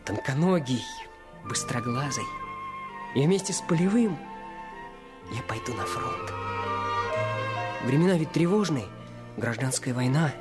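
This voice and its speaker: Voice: mid-range voice, big pitch swings, measured pace, long pauses, over music. A performer playing a curious, dreamy boy who longs to be a soldier, wondering and wistful, in a Russian radio play.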